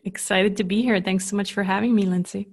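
A woman's voice: medium pitch, steady tone, fast, slightly rough. A woman from Switzerland with a Canadian-tinged English accent, talking casually as a podcast guest about her coaching business and laughing easily between points. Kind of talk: casual interview chat.

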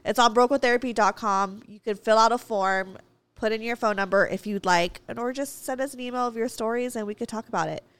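A young woman co-hosting a podcast in chatty, expressive casual talk. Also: a little high, brisk, clean tone, dry recording. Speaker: podcast co-host. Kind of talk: casual chat.

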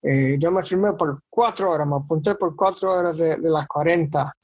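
A priest in his thirties tells his story in an interview, acting out the voices of the people he quotes and slipping in jokes. His voice sits high and has a husky edge. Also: normal speed, a little mellow, highs cut off. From Bronx, New York. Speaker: diocesan priest. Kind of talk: interview, personal faith story.

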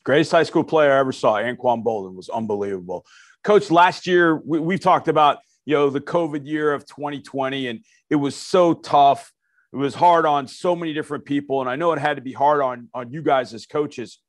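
A man's voice: mid-range voice, brisk, no room sound, clean studio sound. A man co-hosting a sports interview who asks long, winding questions in an enthusiastic way. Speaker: co-host interviewer.

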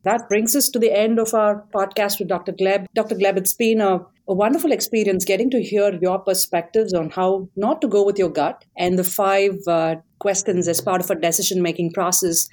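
A woman hosts a podcast and talks with her guest in a friendly, affirming way. Her pitch sits in the middle of the usual range, and she uses a few fillers as she goes. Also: a little fast, few pauses, darkish tone. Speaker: podcast host. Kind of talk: podcast interview hosting.

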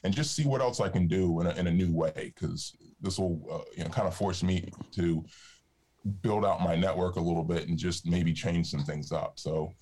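A man with a deep, resonant low voice, chatting casually as a podcast guest with plenty of fillers. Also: moderate pace. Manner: relaxed, rambling with fillers. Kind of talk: casual conversation.

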